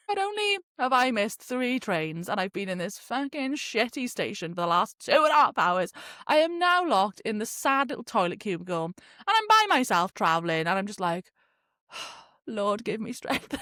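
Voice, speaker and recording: A young woman with a Welsh accent, hosting a podcast and telling a story in a casual, animated way, laughing often and swearing freely. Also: mid-range voice, no room sound, studio quality. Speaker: podcast host.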